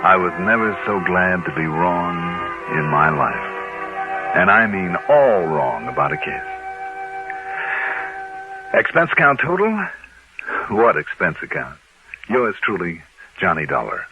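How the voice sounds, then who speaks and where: An American actor playing a weary, preoccupied insurance investigator in a 1960s radio drama, on a muffled, hissy old recording. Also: male voice, low-pitched, sing-song, a little slow, long pauses, music in the background, heavily acted. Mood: relieved. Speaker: lead actor in radio detective series.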